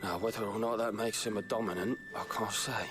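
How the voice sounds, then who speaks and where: Man acting low-pitched villager, music behind. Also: fairly even intonation, fairly quick, natural voice. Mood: matter-of-fact. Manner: colloquial, matter-of-fact. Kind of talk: video game cutscene acting.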